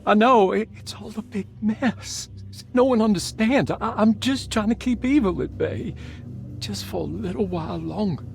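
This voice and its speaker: An actor playing a tormented, paranoid man bound by a dark pact, fearful and halting in a game cutscene. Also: high-pitched, vocal fry, soft background music. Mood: anguished. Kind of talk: video game cutscene acting.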